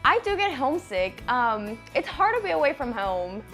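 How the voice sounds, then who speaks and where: Woman from Mexico, earnest higher voice. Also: big pitch swings, normal speed, a bit bright, soft background music. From Monterrey, Mexico. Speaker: international student.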